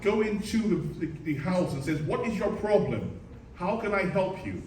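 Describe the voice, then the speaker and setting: A man's voice: sits high, big pitch swings, moderate pace, a little mellow. A man giving a rousing political speech, emphatic and exhortative, repeating his phrases for effect. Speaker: political speaker at a community reception. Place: reverberant reception room, speaking to a gathering. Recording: echoey, distant hall recording with background noise.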